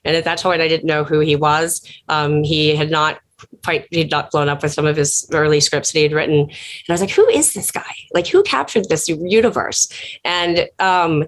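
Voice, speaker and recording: A woman hosts an online event over a video call. Her voice sits a little low for a woman. She speaks informally, in an effusive and encouraging way. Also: moderate pace, a little hiss or hum. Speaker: event host and organizer. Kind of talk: informal closing remarks at an online ceremony.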